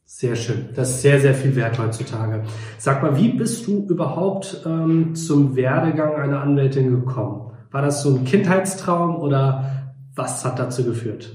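A man's voice: medium pitch, moderate pace, darkish tone, echoey room. A man interviewing a guest, affirming her answers in casual speech. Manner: affirming, friendly back-channeling.

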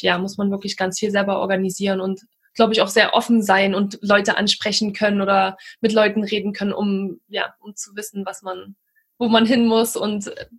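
A woman in her thirties from Saxony-Anhalt, Germany, calmly recounting her life as a guest in a casual conversation. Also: medium pitch, breathy edge. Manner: reflective, measured storytelling.

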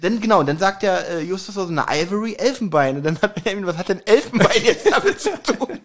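A man's voice: high voice, lively intonation, fast. A man co-hosting a podcast in casual chat, teasing his partner and laughing often. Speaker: podcast co-host. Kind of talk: casual chat recapping a kids' audio drama.

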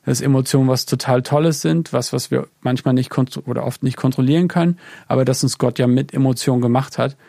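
German man, gravelly voice, dark mellow tone, reflective podcast chat, dry room. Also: medium pitch, fairly even intonation, fast talker, few pauses, no echo. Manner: reflective, thinks aloud with many fillers. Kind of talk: casual conversation about worship music.

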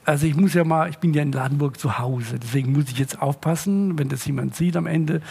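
A man chatting casually as a podcast guest, with wry asides and a rambling, hesitant manner in a gravelly, husky voice. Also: fairly high pitch, fairly quick, darkish tone. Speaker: guest, music producer.

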